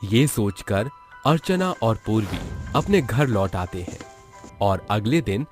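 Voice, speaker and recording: A man's voice: lowish voice, big pitch swings, slow. A man narrating a cartoon moral horror story in a dramatic, scripted storytelling style. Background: background music.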